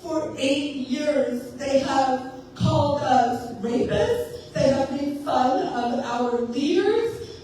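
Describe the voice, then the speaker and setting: A woman from South Africa, a school psychologist, giving a loud, emotional public comment at a town hall. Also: sits high, takes it slowly. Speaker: school psychologist speaking as an audience member. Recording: echoey hall, voice from far off, faint noise.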